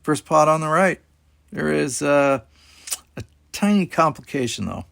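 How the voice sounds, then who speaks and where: Man voicing a cocky, higher-pitched teenage boy captain. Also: animated, measured pace, gravelly, fairly bright tone, full character voice. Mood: reassuring, casual.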